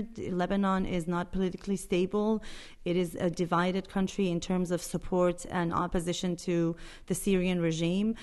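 A woman's voice: mid-range voice, clear, resonant tone. A woman speaking as a reporter in an interview, explaining things in an earnest, emphatic way. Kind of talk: spoken radio interview, explanatory.

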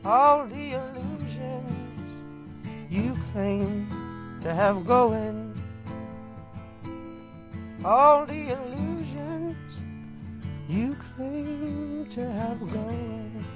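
Female singer, high and unhurried, acoustic guitar backing. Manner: sung slowly over guitar. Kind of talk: singing a slow song.

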